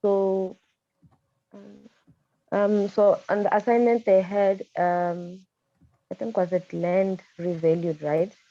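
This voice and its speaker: A woman's voice: mid-range voice, flat delivery, slow, pauses often, dark tone. A woman speaking as a student, tentatively asking her tutor questions and often checking she is understood, on dull, roomy online-call audio. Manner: tentative, checks with a tag "right?". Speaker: accounting student.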